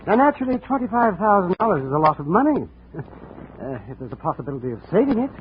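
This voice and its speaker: A man's voice: high-pitched, big pitch swings, fast talker, highs cut off. A man playing a fussy, hesitant insurance official in a 1950s radio drama, formal, long-winded and full of hemming and hawing. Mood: fussy, secretive. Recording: noisy, thin 1950 radio recording.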